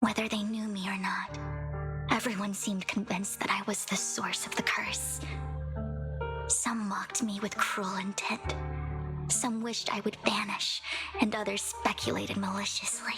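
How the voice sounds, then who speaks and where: A woman voicing a soft-spoken, melancholy and devoted young woman in a video game, with a hushed, deliberate delivery. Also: mid-range voice, little pitch movement, music in the background, natural voice. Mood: sorrowful, hushed.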